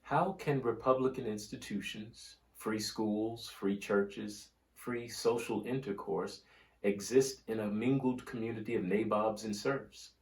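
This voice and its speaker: Man, slightly deep voice, very unhurried, solemn oratorical book reading, deliberate pauses, echoey room. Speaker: reader of a history book. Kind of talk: scripted dramatic reading of history and quoted oratory. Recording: roomy, echoing room sound.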